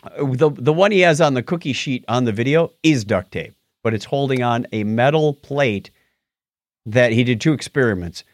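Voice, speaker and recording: A man's voice: medium pitch, no echo. A middle-aged man chatting through tech news as a podcast co-host. His voice swings up and down with animation, and he trips over tricky words. The sound is dry and close to the mic. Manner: animated, stumbles over hard words, does quick voices in quotes. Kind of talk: casual tech news chat.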